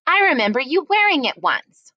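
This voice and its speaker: A woman's voice: high-pitched, big pitch swings, moderate pace, dry recording, lo-fi sound, pitched above the performer's own voice. A woman voicing a stern older female schoolteacher in a storybook, firm and commanding as she addresses a class. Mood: stern, insistent.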